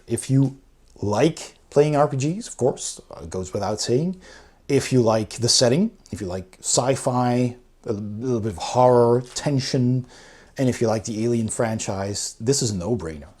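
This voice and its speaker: A man's voice: on the low side, darkish tone, slightly rough. A man giving a casual, unscripted review, explaining things in an informal and enthusiastic way. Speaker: board game reviewer.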